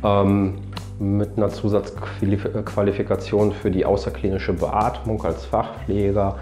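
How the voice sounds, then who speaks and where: A man's voice: on the low side, flat delivery, measured pace, warm tone, faint music behind. A man in his thirties, a nurse, answering interview questions earnestly in long fluent sentences. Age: 30s. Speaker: nurse and team coordinator. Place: indoors before a plain backdrop. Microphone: lapel mic.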